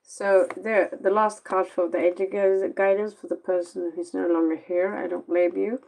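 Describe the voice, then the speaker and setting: A woman's voice: lowish voice, level tone, normal speed, gravelly, darkish tone, a little hiss or hum, rough recording. A Norwegian-accented woman, a native Norwegian speaker, giving a casual late-night tarot reading in a blunt, sarcastic, rambling way, sounding tired. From Norway. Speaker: tarot reader.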